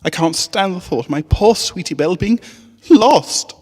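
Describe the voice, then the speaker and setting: A man's voice: high-pitched, expressive, normal speed, a little mellow, big-room sound, voice pushed up. A man voicing a genteel, emotional female pony from a story, refined and dramatic. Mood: distressed.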